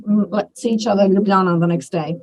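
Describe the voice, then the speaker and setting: An interpreter giving a simultaneous English interpretation at a university panel, halting, with fillers and restarts. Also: medium pitch, fairly even intonation, darkish tone, poor audio. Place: university faculty event.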